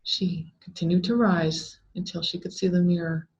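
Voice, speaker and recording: A woman reading a scripted horror story aloud in a deliberate, dramatic way with drawn-out words. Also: lowish voice, steady tone, a little mellow. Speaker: story reader. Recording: dull, lo-fi sound.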